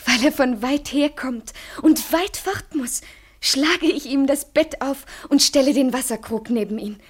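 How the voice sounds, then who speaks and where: An actress playing a devoted young woman in love in a poetic radio play, speaking softly with solemn lyricism. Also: sits high, a little slow.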